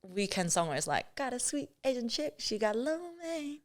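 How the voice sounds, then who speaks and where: A woman's voice: a little high, big pitch swings, a little fast. A woman guest on a podcast, whose first language is Cantonese, chatting casually and teasing the hosts. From England. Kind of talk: casual chat.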